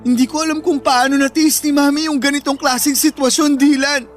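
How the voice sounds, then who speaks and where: An actor playing an emotional, protective older brother with a Filipino accent in a radio drama, pleading and earnest. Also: male voice, very high voice, fairly even intonation, brisk. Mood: hurt, protective. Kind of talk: Tagalog radio drama acting. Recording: background music throughout.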